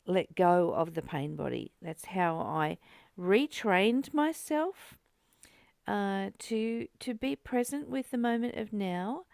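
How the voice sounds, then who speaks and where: A woman's voice: medium pitch, vocal fry, no echo, clean studio sound. A woman hosting a spiritual study, speaking in a reflective, confiding and openly emotional way, repeating phrases for emphasis. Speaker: host of a spiritual book study.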